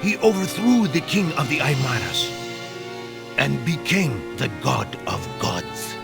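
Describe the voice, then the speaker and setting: An actor playing an ominous teller of ancient legend, narrating a video game with portentous delivery. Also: male voice, mid-range voice, sing-song, measured pace, gravelly, over music.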